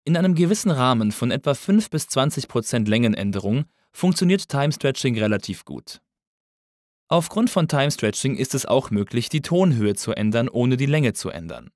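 A man reading a scripted tutorial as an instructor, in a measured, didactic manner. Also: mid-range voice, very animated, darkish tone, dry recording, clean studio sound. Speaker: audio engineering instructor. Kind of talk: scripted lesson narration.

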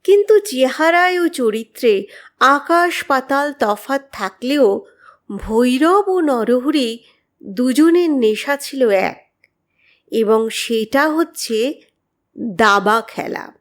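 A woman reads a horror story as a narrator, deliberately and expressively, with dramatic pauses. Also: high voice, expressive. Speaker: audiobook narrator.

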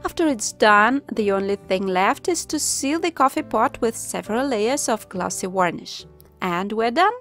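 A woman with a somewhat high-pitched voice explains a home craft project in a calm, instructive voice-over. Soft music plays faintly underneath. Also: normal speed. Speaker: craft channel host. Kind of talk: step-by-step voice-over narration. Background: soft background music.

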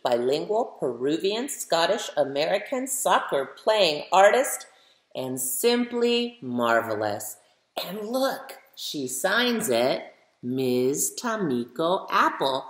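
Expressive fifty-year-old woman reading to children. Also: fairly low pitch. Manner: expressive, emphatic, addressing children with questions. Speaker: children's author reading aloud. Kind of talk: animated picture-book read-aloud with asides to young listeners. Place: living room at home, indoors. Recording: roomy indoor webcam echo.

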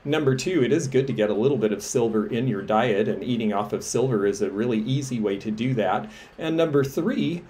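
A man's voice: medium pitch, lively intonation, fairly quick, hardly any pauses, a little mellow. Chatty middle-aged man showing antiques. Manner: chatty, enthusiastic, explanatory. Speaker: antiques dealer. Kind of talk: show-and-tell selling vlog. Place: indoors against a textured wall.

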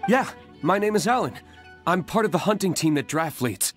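A man playing an anxious, apologetic young village hunter in a video game, earnest and halting. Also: high-pitched, expressive. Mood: surprised, friendly. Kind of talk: scripted video game dialogue. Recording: music behind. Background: background game music.

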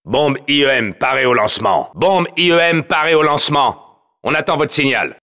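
A man's voice: mid-range voice, expressive, normal speed, pushed and bright. An actor playing a commanding, battle-hardened male soldier who announces a multiplayer game in terse, clipped, authoritative callouts. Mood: commanding, focused.

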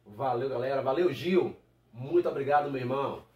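A man's voice: mid-range voice, a little fast, muffled recording, noisy background. A middle-aged man talking casually to camera as host, in a small room. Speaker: channel host. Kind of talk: casual vlog introduction to camera. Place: small home room with computer, indoors. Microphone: camera a short distance away. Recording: roomy, slightly distant microphone.

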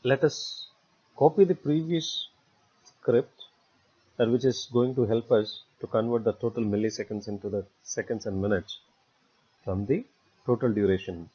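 A man narrating a step-by-step software tutorial in a halting way, repeating words as he goes. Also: mid-range voice, slightly rough. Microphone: voice-over recorded for screen capture. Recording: dull, muffled lo-fi voice recording.

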